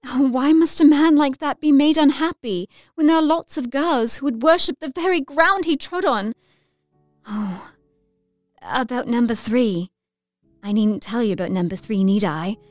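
A woman's voice: high voice, moderate pace, a little mellow, no room sound, studio quality, close to an everyday voice. An actress playing a romantic, effusive young Victorian lady, girlish and emotional, narrating a letter in an audio drama. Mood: wistful, flustered. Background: music.

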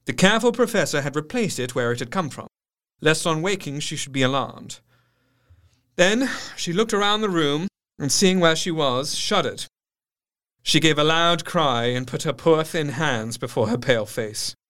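An actor playing a careworn Victorian doctor, narrating his diary with formal, measured diction in a gothic audio drama. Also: on the high side, expressive, a bit bright. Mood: somber. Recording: faint music behind. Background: music.

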